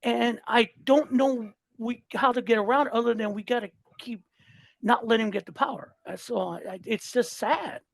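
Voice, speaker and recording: A man's voice: very high voice, normal speed, creaky, no echo. A man in his sixties from Southern California, a listener guest talking politics in a fired-up way, often repeating and restarting words. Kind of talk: casual political chat.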